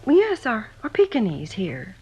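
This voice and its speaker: An American actress playing an anxious, defensive middle-aged housewife in an old radio drama, indignant and insistent. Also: female voice, a little high, wildly swooping pitch, unhurried, darkish tone, breathy edge, exaggerated voice. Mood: hesitant. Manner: indignant, insistent, questioning back. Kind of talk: 1950s radio drama acting.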